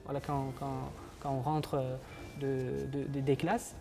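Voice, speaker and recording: A man's voice: medium pitch, level tone, unhurried, creaky, music in the background. A young man from Uzbekistan with an Uzbek accent, a guest on a TV morning show, answering in French as a second language with many hesitations and restarts. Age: 20s. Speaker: guest, master's student.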